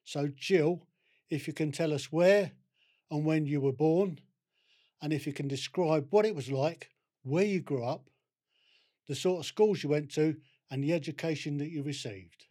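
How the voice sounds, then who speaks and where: Husky, mellow-toned male podcast host. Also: on the high side, normal speed. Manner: friendly, brief prompts, light jokes. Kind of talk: scripted intro, then interview chat.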